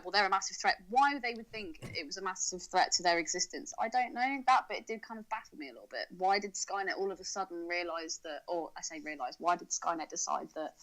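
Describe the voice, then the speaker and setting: Bright-voiced young British woman chatting casually. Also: fairly low pitch, rough recording. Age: young adult. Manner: teasing, informal, slangy. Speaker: podcast co-host. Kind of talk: casual comic film chat.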